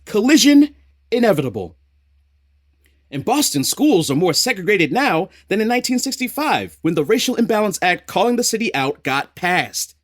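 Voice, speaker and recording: Exasperated, streetwise storyteller for audio drama: colloquial, rhythmic, very lively delivery, dry sound. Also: male voice, sits high, normal speed, no echo. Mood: indignant. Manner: colloquial, rhythmic, repeats phrases for effect. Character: streetwise, exasperated narrator of a city in crisis.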